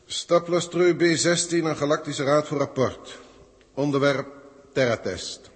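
An actor playing a pompous, pedantic alien senior scientist with stilted formal diction in a 1960s science-fiction radio play. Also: male voice, fairly high pitch, a little slow, close to an everyday voice. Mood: formal reporting. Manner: stilted, elevated, officialese diction. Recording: 1960s radio recording, long cavernous reverb.

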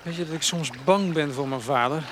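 A man's voice: a little high, fairly quick, fairly bright tone, a little hiss or hum, lightly acted. An actor in his twenties with a Netherlands Dutch accent playing a troubled, hesitant young farmer's son in a radio play. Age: 20s. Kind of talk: naturalistic radio drama dialogue.

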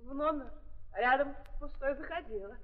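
An actress playing a wronged young woman on trial in a 1930s stage drama, plaintive and defiant, speaking in simple halting phrases. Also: high-pitched, close to an everyday voice. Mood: sullen. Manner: simple, halting, emotional testimony. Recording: muffled, echoing 1930s archive recording.

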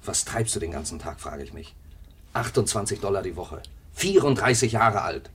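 A man's voice: lowish voice, lively intonation, moderate pace, fairly bright tone, breathy edge, full character voice. An actor playing a restless, self-doubting drifter in his thirties in a 1950s German radio play, brooding, given to bursts of fervour. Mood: brooding, despairing. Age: 30s.

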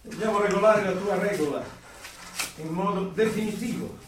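An actor playing a cold, controlling regime interrogator in a radio drama, speaking in a measured, didactic, menacing way with authority. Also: male voice, sits high, close to an everyday voice. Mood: cold, commanding. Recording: roomy, distant, faint noise.

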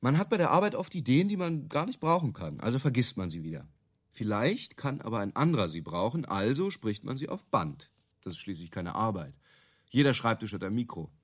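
An actor playing a placid office employee who calmly explains how things work in a radio play. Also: male voice, mid-range voice, a little fast. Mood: calm, explaining. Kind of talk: German radio play, conversational acting.

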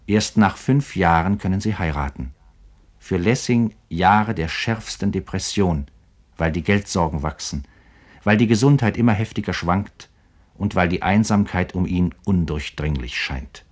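A man narrating a scripted literary radio programme in a measured, formal manner. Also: sits low.

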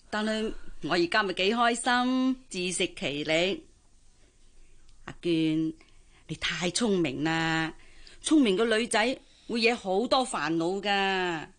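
An actress as an older Hong Kong housemaid in a radio play, a matchmaking auntie of medium pitch. She coaxes and advises in a chatty, cheerfully resigned way. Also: measured pace, fairly bright tone, lightly acted. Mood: concerned, advising. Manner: coaxing, advice-giving, cheerfully resigned. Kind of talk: Cantonese radio drama acting.

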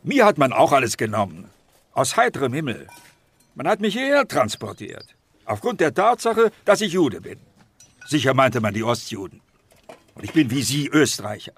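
A man's voice: medium pitch, a bit bright, husky, no room sound, heavily acted. An actor playing an indignant, flustered deported man in a German radio play, agitated and faltering. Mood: aggrieved.

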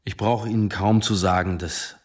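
An actor playing a weary, guarded police chief in a radio drama, speaking formally and probingly with a superior's reproving edge. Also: male voice, lowish voice, fairly even intonation, moderate pace, noisy background, natural voice. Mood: stern, irritated.

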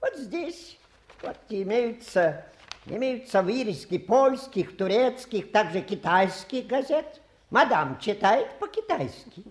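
A touchy old-fashioned showman fakir in a radio drama. His pitch swoops widely as he boasts in fussy, halting, grandiose phrases. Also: sing-song, exaggerated voice. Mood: pompous, wounded. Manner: pompous, halting, fussy theatrical patter. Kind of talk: radio play acting.

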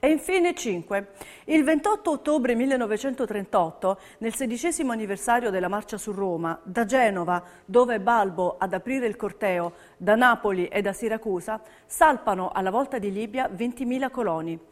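A woman's voice: a little high, moderate pace. A woman narrator. She reads a scripted stage text in a declamatory, emphatic way, as in documentary theatre.

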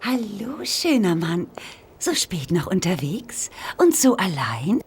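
An actress playing a seductive Victorian street prostitute in a radio play, teasing and coaxing in a breathy drawl. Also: female voice, fairly low pitch, very animated, takes it slowly, a little hiss or hum, exaggerated voice.

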